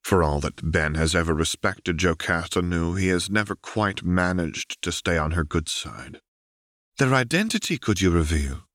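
A man narrating a fan fiction audiobook in a steady, even storytelling manner. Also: deep voice, normal speed, few pauses, no echo, studio quality.

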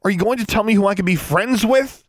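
A man's voice: high-pitched, fast, no echo, clean studio sound, voice pushed up. A man voicing a hot-tempered, defiant twelve-year-old girl in an audiobook, snapping out indignant retorts. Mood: furious yelling. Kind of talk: audiobook character voice.

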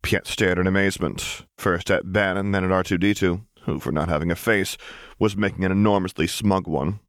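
A man's voice: sits low, fairly even intonation, fairly quick, dry recording, clean studio sound. A man reading a story aloud as scripted audiobook narration. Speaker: audiobook reader.

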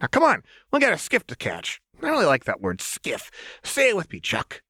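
A man's voice: fairly high pitch, wildly swooping pitch, normal speed, bright, forceful tone, husky, dry recording, studio quality, pitched above the performer's own voice, exaggerated voice. A man voicing a manic, gleefully menacing young woman in an audiobook, with chirpy, taunting, slangy chatter. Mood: playful menace.

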